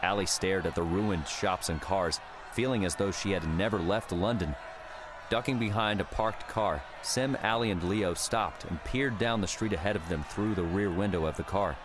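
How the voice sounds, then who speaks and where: A man narrates a story in a low-pitched voice. It is a scripted, dramatic audiobook read with faint noise behind it. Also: normal speed.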